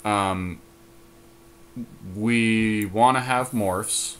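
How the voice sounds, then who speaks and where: Lower-voiced man thinking aloud. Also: extremely slow, fairly bright tone, clear, resonant tone. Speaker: podcast co-host. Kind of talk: casual strategy chat during a card game draft.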